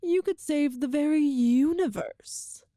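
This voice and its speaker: A cruel and seductive empress, acted by a woman in an audio roleplay, speaks slowly in a soft, mellow, fairly high voice. Her delivery is regal and teasing, with formal, calculated phrasing, recorded close and dry. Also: no echo. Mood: silky, persuasive. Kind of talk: scripted audio roleplay, ASMR-style monologue to the listener.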